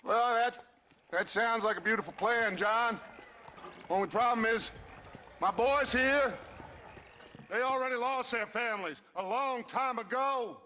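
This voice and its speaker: A man's voice: extremely high pitch, unhurried, long pauses, bright, forceful tone, full character voice. A man playing a menacing Western gang leader in a video game, theatrical and taunting like an orator. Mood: grandiose. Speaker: actor in a video game cutscene.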